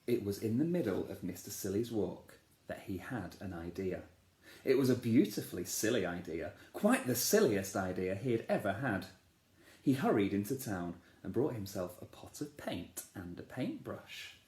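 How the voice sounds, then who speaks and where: A man, a teacher, reading a children's storybook aloud in a playful, expressive way, asking the listeners questions. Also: fairly low pitch, moderate pace. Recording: echoey room.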